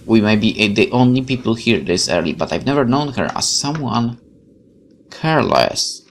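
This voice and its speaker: A man's voice: mid-range voice, expressive, moderate pace, slight background noise. A man playing a game for viewers, reading visual novel narration aloud like a dramatic storyteller and adding musing commentary. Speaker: let's player.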